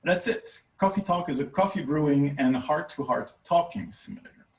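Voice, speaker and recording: A man's voice: fairly high pitch, normal speed. A male researcher presenting a conference paper in a hesitant, wryly emphatic way, recorded in a roomy space away from the microphone. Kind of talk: conference talk. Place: indoor room, recorded for a virtual conference. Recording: roomy echo, distant microphone.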